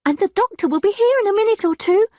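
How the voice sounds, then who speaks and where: A woman's voice: extremely high pitch, lively intonation, fast, darkish tone, no echo, clean studio sound, pitched above the performer's own voice. A woman reading an audiobook, voicing a young, anxious maidservant who pleads urgently. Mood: urging. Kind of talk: audiobook character voice.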